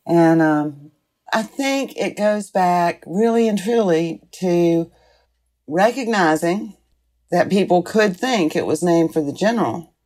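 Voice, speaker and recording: An older woman being interviewed, reflecting on the past in a deliberate way with drawn-out phrases. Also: on the low side, a little mellow. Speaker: interviewee, former school board member.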